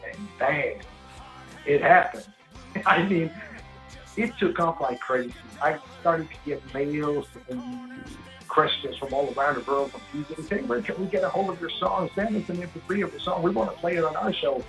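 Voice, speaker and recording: A man from Sweden with a big, gravelly voice talks his way through long stories in a relaxed interview, often starting over mid-sentence. Faint music sits low behind him. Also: normal speed, low-quality recording. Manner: rambling storyteller, frequent restarts. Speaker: singer-songwriter guest. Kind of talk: casual interview chat.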